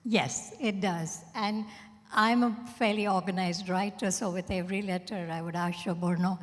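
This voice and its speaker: A woman's voice: medium pitch, fairly even intonation, moderate pace. A woman speaking conversationally as a fiction writer, explaining her process without a script. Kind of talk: unscripted conversational talk about writing. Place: event hall at a hotel. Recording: echoey hall with long reverb.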